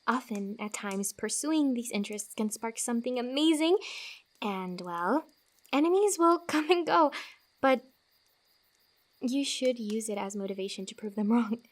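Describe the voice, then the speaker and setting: A woman playing a friendly, teasing fantasy wanderer who gives comfort in a soft-spoken, giggly, rambling ASMR roleplay. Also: fairly high pitch, expressive, unhurried. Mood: encouraging.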